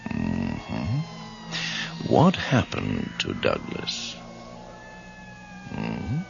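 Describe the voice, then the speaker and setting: Very deep, gravelly hypnotist doctor. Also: male voice, wildly swooping pitch, heavily acted. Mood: calm, commanding. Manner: slow, commanding, deliberate. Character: stern, self-assured male doctor hypnotizing a patient. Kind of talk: radio horror drama acting. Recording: dull lo-fi radio drama, music underneath.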